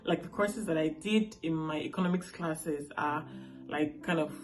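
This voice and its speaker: A woman's voice: deep voice, moderate pace, faint music behind, low-quality recording. A woman in her late twenties from Nigeria, with a Nigerian accent and Igbo as her first language, vlogging in a casual, chatty way and checking in with viewers often. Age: late 20s. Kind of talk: casual talk to camera.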